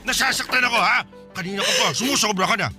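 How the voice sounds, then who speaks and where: Strident, high-pitched, indignant husband. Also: male voice, quick pace, bright, forceful tone, faint music behind. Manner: defensive, scoffing, blustering. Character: indignant, evasive older husband denying an affair. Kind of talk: Tagalog radio drama acting.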